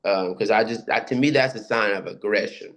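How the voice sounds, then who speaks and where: A man shares personal experiences in a casual discussion. His voice is deep, and he tells things haltingly, often repeating a phrase before he moves on. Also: slight background noise. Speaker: participant sharing personal experiences.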